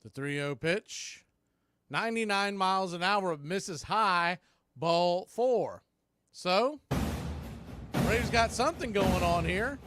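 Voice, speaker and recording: A man's voice: high voice, takes it slowly, pauses often, a bit bright, soft background music. An American man calling live baseball play-by-play in a folksy, partisan home-team style. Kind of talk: live radio baseball commentary.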